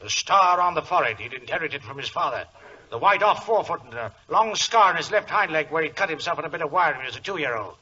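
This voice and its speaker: Blustery British colonel, sharp and forceful. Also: male voice, a little high, expressive, quick pace. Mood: indignant. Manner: blustery, emphatic. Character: agitated gentleman colonel, a racehorse owner. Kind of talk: 1940s radio drama acting. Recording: dull, noisy vintage radio recording.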